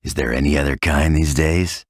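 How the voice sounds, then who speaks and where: An actor playing a cynical, hard-bitten undercover tough guy in a video game, gravelly and husky, with a blunt, sardonic delivery. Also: extremely low pitch, steady tone, moderate pace, no room sound, clean studio sound. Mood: dry, cynical. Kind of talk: video game cutscene acting.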